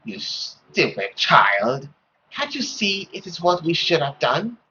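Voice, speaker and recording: A man voicing a stern, possessive middle-aged mother in a dramatic, deliberate, scolding manner. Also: fairly high pitch, sing-song, pushed and bright, dull audio, slight background noise, full character voice.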